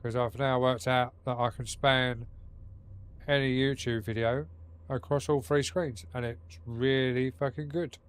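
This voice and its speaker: A man's voice: mid-range voice, steady tone, a little slow, clear, resonant tone. A man streaming a game from his home setup, talking casually with a blocked nose and a rambling, sweary manner. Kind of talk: casual live-stream chat while gaming.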